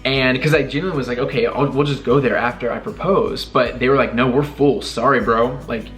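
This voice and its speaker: A young man telling a personal story in a casual chat with his wife, animated and self-deprecating, often laughing at himself. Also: medium pitch, a little fast, soft background music. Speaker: vlogger telling proposal story.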